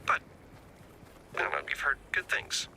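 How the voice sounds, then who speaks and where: An actor playing a flippant, scheming, laid-back ex-spy buddy in a video game, talking in a wry, slangy, rambling way. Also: male voice, moderate pace, heavy background noise, lightly acted. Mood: sly, joking. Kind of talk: scripted game dialogue, casual chatty.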